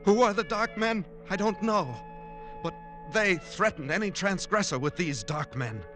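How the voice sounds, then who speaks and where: A man's voice: high voice, animated, unhurried. An actor in a vintage radio drama playing an earnest, troubled man confiding in his diary. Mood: anxious, confiding. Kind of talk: 1940s-50s radio drama acting with diary narration. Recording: old radio broadcast with music behind.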